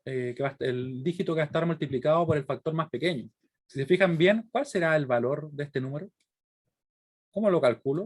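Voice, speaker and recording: A man with a Chilean accent giving an explanatory lecture to students, working through examples step by step and often checking in with short questions. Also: on the high side. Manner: didactic, frequent check-in questions. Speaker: university lecturer.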